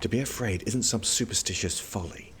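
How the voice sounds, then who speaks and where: A man acting a deep, brooding fantasy prince. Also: fairly quick, slight background noise, natural voice. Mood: grave. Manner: deadpan, terse, grave. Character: brooding, wary, dry-witted fantasy prince. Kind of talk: audio drama acting.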